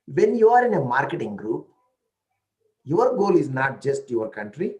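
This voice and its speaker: A man's voice: on the high side, darkish tone, rough recording. A man with an Indian accent who speaks an Indian language natively, telling stories in a casual talk and often checking in with "right?" and "you know".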